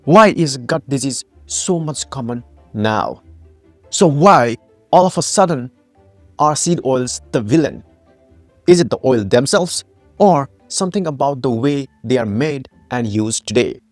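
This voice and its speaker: A man's voice: mid-range voice, lively intonation, unhurried, no echo, faint music behind. A man from Nepal, founder and host of a health channel, giving a scripted educational narration with emphatic rhetorical questions.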